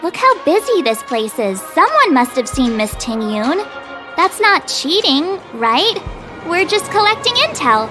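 An actress playing a perky, earnest young girl adventurer in a video game, expressive and chatty. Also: extremely high pitch, unhurried, over music, full character voice. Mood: eager, cajoling.

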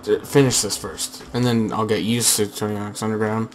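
A young man casually chatting over his gameplay through a headset, slightly hoarse, rambling with fillers and restarts. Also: lowish voice, flat delivery, brisk, noisy background. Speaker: gaming channel host.

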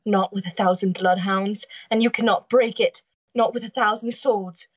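Woman playing a defiant, husky-voiced young princess. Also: mid-range voice, fairly even intonation, moderate pace, natural voice. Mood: scornful. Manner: proud, emphatic. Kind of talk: table read acting over video call.

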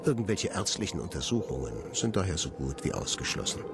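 An actor playing a cold, clinical scientist with deadly plans in a radio drama, speaking in a detached, formal, precise manner. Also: male voice, low-pitched, very animated, fairly quick, loud music behind the voice. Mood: coldly clinical. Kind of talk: German radio drama monologue.

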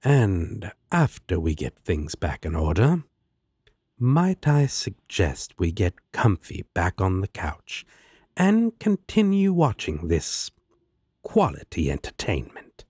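Guy doing a slow husky demon roommate, sly and sardonic, audio roleplay drawl. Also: deep voice, wildly swooping pitch, darkish tone, no room sound, clean studio sound, exaggerated voice. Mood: amused, laid-back. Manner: drawling, sardonic, coaxing endearments. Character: sly, teasing male demon roommate, laid-back and sardonic. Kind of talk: roleplay audio drama acting.

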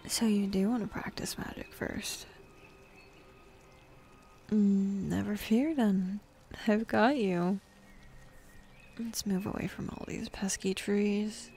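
Woman acting as bossy teasing demon lady, asmr roleplay, slow and gravelly, kinda sardonic. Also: mid-range voice, fairly even intonation, darkish tone, faint music behind. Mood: wry. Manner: dominant, sardonic, drawling, slipping into whispers. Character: bossy, teasing demon woman, easily bored, secretly friendly. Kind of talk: ASMR roleplay, intimate addressed to listener. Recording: faint ambient forest background noise.

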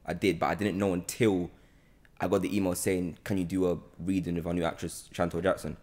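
A young man with a London accent and a slightly low voice, talking quickly. He hosts a relaxed podcast chat in a playful way, often repeating phrases for emphasis. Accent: London British. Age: young adult. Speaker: podcast host, actor. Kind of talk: casual chat interview. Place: bright indoor open-plan room on a sofa. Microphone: close podcast mic on boom arm.

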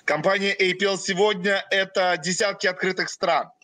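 Bright, high-voiced young Russian man. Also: steady tone, no echo. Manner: speaks in short phrases, pausing for his interpreter. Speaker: company president. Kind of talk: webinar presentation.

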